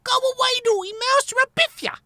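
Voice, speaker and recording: Casting: tiny feisty boy squirrel, very high and squeaky. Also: a little fast, sharp tone, no echo, studio quality, heavily acted. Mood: truculent. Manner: squeaky childish bluster.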